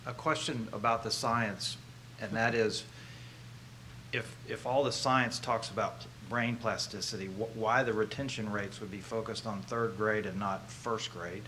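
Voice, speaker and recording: A man's voice: on the low side, fairly even intonation, measured pace, bright, forceful tone. A man with an American accent politely asking a question from the audience at a conference. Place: large conference ballroom audience. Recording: live hall microphone, faint room noise.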